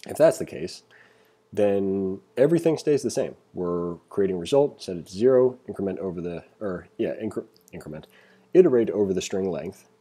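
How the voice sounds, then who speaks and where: A man with a very dark, relaxed voice and a slightly husky edge talks through code like an informal instructor. He thinks aloud and pokes fun at himself in a casual screencast style, pitched on the low side. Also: moderate pace. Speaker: programming instructor. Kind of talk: casual screencast coding tutorial. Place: indoors at a computer, screen recording voice-over.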